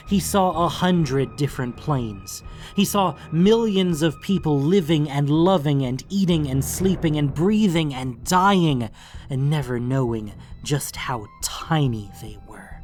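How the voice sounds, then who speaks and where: A man narrating a fantasy story in a dramatic, scripted audiobook style. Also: on the high side.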